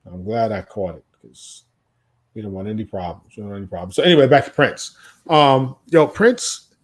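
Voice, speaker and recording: A man's voice: lowish voice, lively intonation, moderate pace. American man chatting, repeating himself. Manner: repeats his phrases. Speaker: podcast co-host. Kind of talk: casual music chat.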